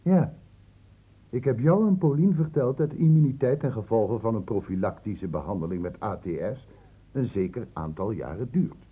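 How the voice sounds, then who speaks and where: An actor playing a stern, probing doctor in a Dutch radio drama, with measured, clinically precise questioning. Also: male voice, medium pitch, animated, husky. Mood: probing, insistent. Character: probing, stern male doctor, calmly cornering a suspect. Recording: muffled phone-like old radio recording.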